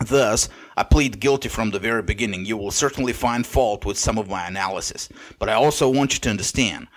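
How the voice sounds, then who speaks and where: Bulgarian-accented man lecturing on a podcast. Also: on the low side. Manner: measured, lecturing, with occasional hesitant restarts. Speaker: podcast host. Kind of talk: scripted solo podcast commentary.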